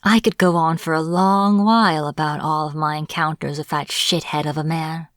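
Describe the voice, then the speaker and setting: A woman's voice: low-pitched, fairly even intonation, moderate pace, no echo, clean studio sound, lightly acted. A woman acting as a captured witch in a fantasy audio roleplay, irritable and defiant, speaking informally with sarcastic exasperation. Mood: irritated, scornful. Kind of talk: dramatic fantasy audio roleplay, addressed to the listener.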